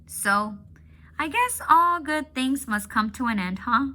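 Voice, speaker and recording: Woman reads a sad-eyed stray dog, joking lightly, unhurried and bright. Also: high voice, expressive, pitched above the performer's own voice, heavily acted. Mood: wistful. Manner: light teasing tone over sadness. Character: wistful male stray dog, cheerful on the surface, sad underneath.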